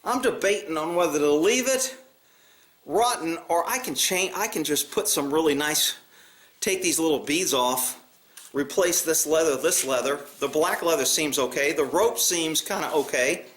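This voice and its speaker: A man's voice: on the high side, normal speed, fairly bright tone. An American man chatting casually as a vlog host, rambling in a blunt, folksy way with mild cursing. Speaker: video channel host. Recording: roomy, echoey sound.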